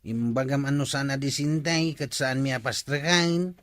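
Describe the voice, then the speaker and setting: A man's voice: medium pitch, steady tone, normal speed, fairly bright tone, dry recording, lightly acted. A man playing a grumbling, blaming sidekick of a village official in an Ilocano comedy audio drama.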